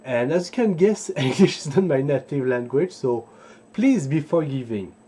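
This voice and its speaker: Male history host, English. Soft-edged, rough-grained voice, halting informal delivery. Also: medium pitch, lively intonation. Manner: halting, searching for words, digressive. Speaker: host of a history video series. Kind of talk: informal explainer with live translation from a book.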